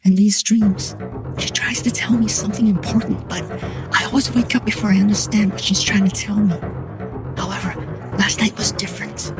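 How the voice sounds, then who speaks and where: A woman in her thirties playing an earnest, troubled client who recounts her haunting in a measured, scripted dramatic monologue. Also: mid-range voice, fairly even intonation, creaky, natural voice. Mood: worried, pleading. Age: 30s. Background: soft music.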